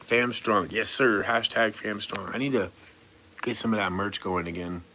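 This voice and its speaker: A man's voice: lowish voice, normal speed, sharp tone, a little hiss or hum. A man in his forties chatting casually on a live stream, reading viewer comments aloud and answering them in a laid-back, rambling, goofy way with a chuckle now and then. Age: 40s.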